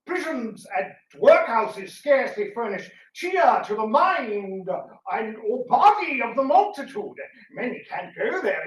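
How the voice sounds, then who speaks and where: A man playing a timid, deferential Victorian petitioner or clerk, halting and obsequiously polite in a stage reading. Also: very high-pitched, sing-song, heavily acted. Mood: polite pleading. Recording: roomy, distant mic.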